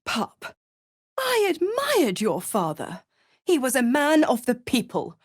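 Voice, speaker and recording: A seductive she-devil for a video game, scheming and smug. She takes her time over each phrase, swooping expressively through her pitch as she taunts and condescends with silky, mocking sweetness. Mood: feigned hurt. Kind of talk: scripted video game character acting.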